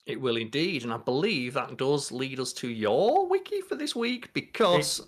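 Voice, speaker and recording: A man's voice: mid-range voice, sing-song, normal speed. A young British man co-hosting a podcast with casual, loose banter. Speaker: podcast co-host.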